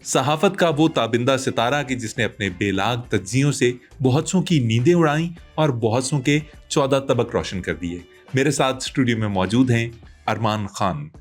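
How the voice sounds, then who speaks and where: A man's voice: mid-range voice, moderate pace, faint music behind. A man with a Pakistani Urdu accent hosting a comedy talk show, with a sore throat and an exasperated, protesting manner.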